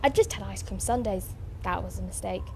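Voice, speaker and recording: A woman playing a quick, scatty, optimistic alien time traveller in a fan audio drama, chattering to herself and switching abruptly between worry and pep. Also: medium pitch, vocal fry, background noise, close to an everyday voice. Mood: indignant, rambling.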